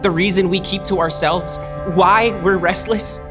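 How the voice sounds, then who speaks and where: A man's voice: high voice, steady tone, music in the background. An anguished, haunted young man. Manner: halting, pleading, bursts of anger. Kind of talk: audio drama acting.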